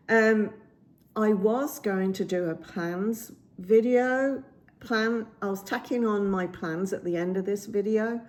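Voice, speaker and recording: A woman with a slightly croaky voice chats casually about her cross stitch projects, rambling with many ums and restarts, filmed on a phone at home. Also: mid-range voice, a little mellow. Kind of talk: casual show-and-tell chat. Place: indoors at home by a window. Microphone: phone camera.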